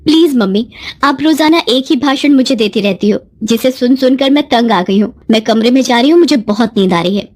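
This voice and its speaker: Woman voicing a haughty, snapping young daughter, high-pitched. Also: expressive, fairly quick, darkish tone. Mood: irritated, defiant. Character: spoiled, angry college-age daughter, defiant and scornful.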